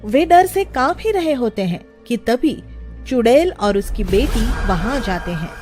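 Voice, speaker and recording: A woman's voice: on the high side, animated, measured pace, a little mellow, soft background music. A woman narrating a scripted children's story as its storyteller.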